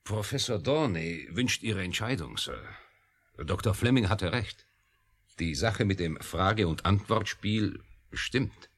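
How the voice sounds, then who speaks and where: An actor playing a torn, reasonable professor in a German radio play, speaking in a measured, questioning way. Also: male voice, lowish voice, expressive, exaggerated voice. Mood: earnest reporting. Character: hesitant, torn male professor, reasonable mediator.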